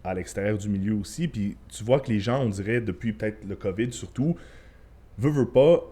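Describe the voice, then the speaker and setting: A man's voice: lowish voice, normal speed, warm tone. A young man with a Quebec French accent, a podcast guest chatting loosely, swearing and mixing in English phrases. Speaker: podcast guest, former bodybuilder and coach. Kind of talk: casual podcast chat.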